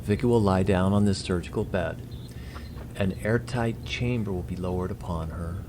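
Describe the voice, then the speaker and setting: A man's voice: low-pitched, moderate pace, close to an everyday voice. A man playing a courteous, composed alien envoy in a science fiction audio drama, formal and precise in speech. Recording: clearly noisy audio drama track.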